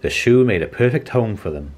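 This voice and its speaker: Low-voiced man reading a children's story. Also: expressive, a little fast, darkish tone. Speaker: storybook reader. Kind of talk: scripted children's story narration.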